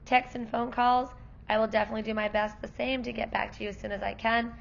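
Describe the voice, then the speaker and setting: Teacher lady losing her voice, kinda gravelly and worn, friendly explaining to parents, a bit lo-fi. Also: fairly high pitch, flat delivery, quick pace, slight background noise. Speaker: kindergarten teacher. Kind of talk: parent night presentation.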